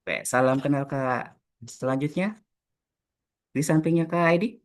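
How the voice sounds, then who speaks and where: A young man from Jakarta hosting a casual group podcast, friendly and relaxed, often pausing on "eh". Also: on the high side. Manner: friendly, relaxed, frequent filler "eh". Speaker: podcast host.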